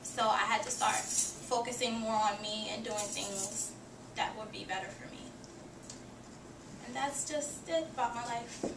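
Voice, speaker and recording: A woman's voice: a little high, unhurried, long pauses. A young woman from Haiti, a student giving a prepared personal introduction speech to her class in an earnest, self-reflective way. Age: young adult. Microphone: far camera. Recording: echoey classroom from far off with noise.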